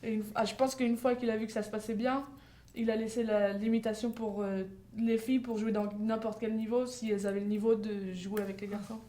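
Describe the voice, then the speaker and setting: A woman's voice: on the high side, little pitch movement, a little fast, mellow. A young woman from France, answering interview questions fluently. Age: young adult. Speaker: guest, softball player.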